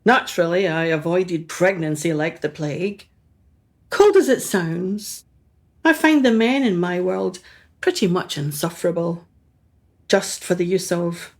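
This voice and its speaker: An actress playing a haughty, self-absorbed successful painter in a radio play, arch and condescending. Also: female voice, lowish voice, measured pace. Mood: candid, cool.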